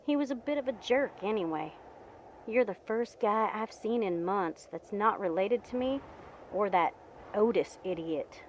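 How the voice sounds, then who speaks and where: A woman's voice: mid-range voice, lively intonation, unhurried, lightly acted. A woman playing a blunt, matter-of-fact young survivor in a motion comic, speaking frankly. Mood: frank, casual. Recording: dull lo-fi audio, faint background noise.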